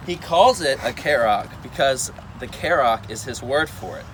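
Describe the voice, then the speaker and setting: A noisy outdoor recording of a man acting a wise old wizard in an amateur stage reading. He is commanding and deliberate, halting slightly, in a medium-pitched voice. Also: lively intonation, fairly bright tone, natural voice. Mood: matter-of-fact. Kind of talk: amateur readers' theater reading.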